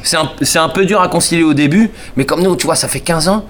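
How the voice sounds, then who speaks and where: A man's voice: a little high, fast, a bit bright. A man in his forties from the Paris suburbs, a band member talking loosely in a radio interview, slangy and rambling, with many fillers and restarts. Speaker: band member, musician guest. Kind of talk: casual radio interview chat.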